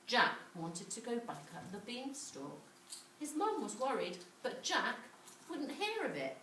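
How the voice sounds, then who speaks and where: Animated woman storyteller in an echoey room. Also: mid-range voice. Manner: dramatic, drawn-out emphasis. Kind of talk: animated children's story reading. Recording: echoey room, distant mic, faint noise.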